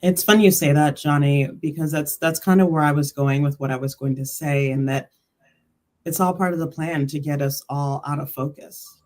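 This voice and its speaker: Woman, low register, conversational panelist, emphatic, voices her own thoughts like dialogue. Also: steady tone, normal speed, a little mellow. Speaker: panelist on a spiritual talk livestream. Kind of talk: casual panel chat.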